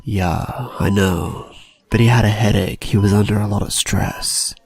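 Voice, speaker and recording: Suave gravelly goth villain played by a man. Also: fairly even intonation, unhurried, darkish tone, soft background music. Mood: explaining. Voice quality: low, gravelly. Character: suave, reassuring young male goth villain in parody cartoon.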